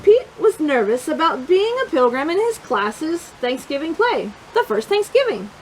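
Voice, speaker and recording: Animated high-pitched woman reading to children. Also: normal speed, faint music behind, low-quality recording. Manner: expressive, encouraging, talks directly to children. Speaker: children's storytime reader. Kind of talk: animated picture-book read-aloud with questions to young listeners.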